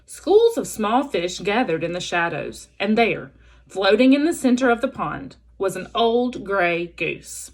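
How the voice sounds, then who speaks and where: A woman reads a children's chapter book aloud as a teacher would. Her delivery is expressive, with very varied pitch and a slightly husky voice. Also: a little slow.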